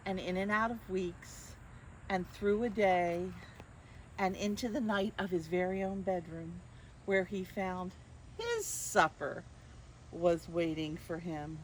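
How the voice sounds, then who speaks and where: An older woman hosting storytime for young children, playful and expressive as she addresses them directly. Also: lowish voice, a little hiss or hum, rough recording.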